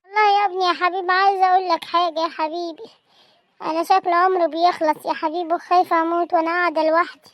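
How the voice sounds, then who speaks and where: A woman's voice: extremely high pitch, steady tone, rapid-fire, a bit bright, no echo. A young woman with an Egyptian accent voicing a lonely, frail old grandmother who pleads mournfully in an amateur skit. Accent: Egyptian Arabic. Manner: mournful, pleading, calls everyone "my dear".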